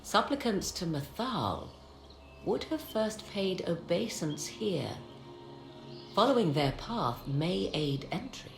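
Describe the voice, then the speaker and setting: A woman's voice: lowish voice, sing-song, slow, vocal fry, exaggerated voice. An actress playing a haughty, knowing witch in a video game, speaking in formal, archaic, sardonic phrases. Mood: assured. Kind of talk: dramatic video game voice acting. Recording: echoey game audio under music.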